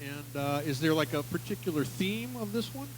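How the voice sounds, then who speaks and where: A man hosts a relaxed interview with a band, his voice sitting a touch high. He asks questions in a chatty, wandering way. A faint hum runs under the recording. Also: moderate pace. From United States. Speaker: host. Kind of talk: casual interview.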